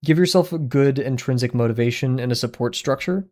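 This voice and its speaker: Hombre joven charlando casualmente en directo. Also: male voice, medium pitch, a little fast, a little mellow. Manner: rambling, conversational, frequent fillers, self-deprecating. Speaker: art streamer. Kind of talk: casual livestream chat while drawing. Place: small home room, indoors. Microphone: headset with boom mic.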